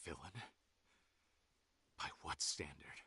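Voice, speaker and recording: A man's voice: rumbling low, slow, pushed and bright, slight background noise, exaggerated voice. A man playing a bitter, defiant criminal researcher in a video game, theatrical and scornful. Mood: defiant, indignant.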